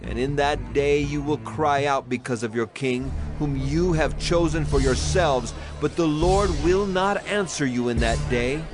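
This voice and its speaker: A man's voice: fairly high pitch, a little slow, hardly any pauses, lightly acted. Man playing Samuel, a stern prophet. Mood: stern, warning. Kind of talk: dramatized scripture acting. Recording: music behind the voice.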